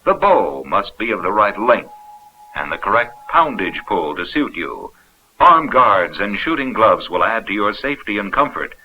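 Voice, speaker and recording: Low american guy doing old 70s instructional film narration, lively intonation, muffled soundtrack. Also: moderate pace. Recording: dull, noisy vintage 16mm film soundtrack.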